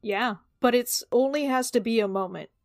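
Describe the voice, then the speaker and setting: A woman's voice: on the high side, moderate pace, dry recording, clean studio sound. A woman playing a tabletop roleplaying game, chatting casually as she works out her character's moves.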